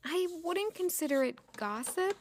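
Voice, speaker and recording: A woman's voice: extremely high pitch, animated, unhurried, a little hiss or hum, heavily acted. A woman playing a polite, reserved young lady in a fantasy audio drama. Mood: hesitant, confiding.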